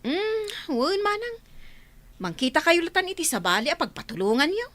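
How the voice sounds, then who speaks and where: A woman's voice: very high-pitched, very animated, normal speed, a bit bright, no room sound, full character voice. A woman with an Ilocano accent playing a politely two-faced, evasive village mother in a radio comedy drama.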